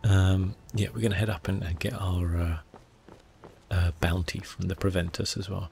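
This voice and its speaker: A man giving soft-spoken, relaxed ASMR commentary over a video game, murmuring and often dropping into whispers. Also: sits low, moderate pace, creaky, a little hiss or hum. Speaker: gaming video host.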